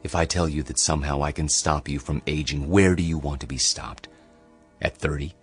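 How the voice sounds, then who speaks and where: An actor playing a world-weary immortal history professor in a radio drama, speaking like a reflective, lecturing storyteller. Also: male voice, a bass voice, steady tone, moderate pace, husky, soft background music. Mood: earnest.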